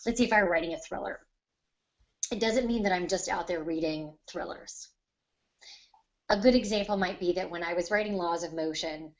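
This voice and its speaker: A woman's voice: lowish voice, muffled recording. A woman writer on a podcast panel, explaining her craft conversationally and in detail with examples.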